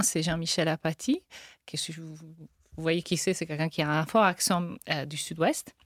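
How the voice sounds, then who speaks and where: A woman linguist gives interview answers in a slightly low voice. She talks in halting bursts, restarting phrases and breaking into small laughs. The sound is dry and close. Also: no room sound. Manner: hesitant with restarts and light laughs. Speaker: linguist guest.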